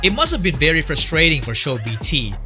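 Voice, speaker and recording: A man's voice: on the high side, very animated, brisk, bright, forceful tone, soft background music. A man narrating a fan-made documentary from a script, in a chatty way that asks the viewer questions.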